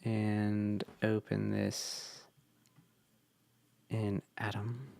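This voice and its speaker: A young man talking through a casual coding tutorial, relaxed and thinking aloud with fillers, his voice tired from long meetings. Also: fairly low pitch, drawn-out words, gravelly, no echo. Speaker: web developer.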